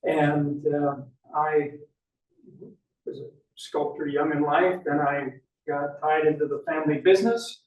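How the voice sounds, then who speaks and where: An older man who works as a sculptor introduces himself in short, halting phrases. His voice sits a little high for a man. He is picked up from a distance in an echoey room. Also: steady tone, darkish tone.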